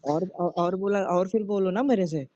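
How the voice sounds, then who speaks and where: A man's voice: high voice, normal speed, warm tone, no echo, natural voice. A man playing a young husband in a casual couple skit, coaxing and chiding his wife in a repetitive way. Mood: scolding. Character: concerned young husband, soothing yet scolding his wife. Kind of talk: acted phone-recording style couple skit in casual speech. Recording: dull, lo-fi audio.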